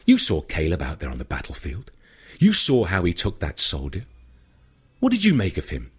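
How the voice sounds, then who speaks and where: A man voicing an ancient, domineering vampire with a cool, measured, menacing manner in an audiobook story. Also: low voice, huge pitch swings, slightly rough, pitched below the performer's own voice, exaggerated voice. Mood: probing. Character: cold, domineering ancient male vampire, scheming and seductive.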